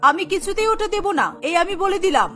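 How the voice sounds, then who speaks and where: A woman's voice: very high-pitched, very animated, fast, a bit bright, soft background music, heavily acted. A woman voicing a stern middle-aged mother-in-law in a cartoon, scolding and indignant. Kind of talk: Bengali cartoon voice acting.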